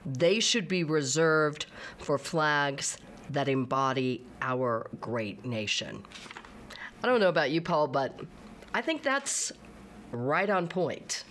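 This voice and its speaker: A woman hosting a talk show, introducing stories and questioning her guest in a steady, emphatic way. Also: low voice, slow pace, slight background noise.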